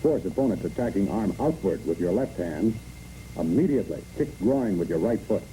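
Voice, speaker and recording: A man's voice: sits low, expressive, moderate pace, warm tone, slight background noise. A man reads scripted instructional narration for a training film in clipped, imperative phrases, on a muffled old film recording.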